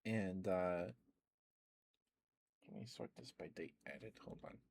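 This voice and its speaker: A man rambling in a soft, meandering ASMR chat, with stammered restarts and fillers, close to the microphone. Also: sits low, lively intonation, takes it slowly. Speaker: ASMR streamer.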